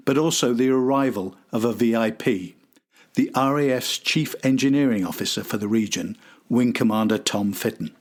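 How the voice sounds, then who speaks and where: A man hosting and narrating a history documentary from a script. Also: mid-range voice. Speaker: host and narrator.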